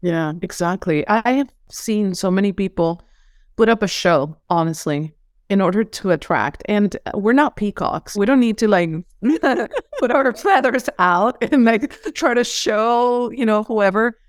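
A woman's voice: medium pitch, a little slow, dry recording, clean studio sound. A middle-aged woman from Venezuela with a Venezuelan accent, a holistic coach and former psychologist, speaking earnestly and effusively as a podcast guest. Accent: Venezuelan Spanish. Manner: earnest, effusive, repeats words at starts. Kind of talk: podcast interview.

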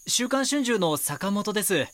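A young male reporter in an audio drama. He is courteous and doggedly inquisitive, with a slightly high, animated voice. The sound is close and dry. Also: measured pace, dry recording, exaggerated voice. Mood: earnest, pondering. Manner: polite, inquisitive. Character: young male magazine reporter, polite, earnest, doggedly probing. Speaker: magazine reporter and first-person narrator. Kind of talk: Japanese audio drama dialogue and narration.

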